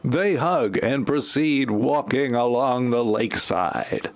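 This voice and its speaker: Deadpan guy narrating a spoof audio play, ordinary mid-pitched voice, slips in wry asides. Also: slow pace.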